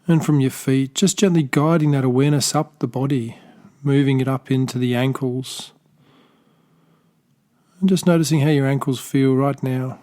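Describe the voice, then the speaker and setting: A man with an Australian accent leads a body scan meditation in a soft, unhurried voice. His pitch sits in the middle range, and he leaves long pauses between gentle, loosely phrased instructions. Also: fairly even intonation. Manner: slow, soft-spoken, gentle guidance with pauses; unscripted, with small restarts. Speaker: meditation teacher.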